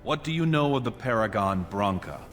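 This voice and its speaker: An actor playing a scheming, courtly dwarf prince in a video game, speaking formally and persuasively in measured phrases. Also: male voice, on the low side, lively intonation, fairly bright tone, soft background music. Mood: calculating. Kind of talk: video game dialogue acting.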